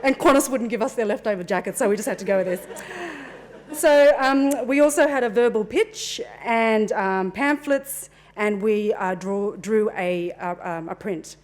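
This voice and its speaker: A middle-aged woman talks through a conference presentation on a handheld mic in a meeting room. Her delivery is relaxed and chatty, full of small asides and fillers, at an ordinary middle pitch. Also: normal speed. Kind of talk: presenting a talk at a forum.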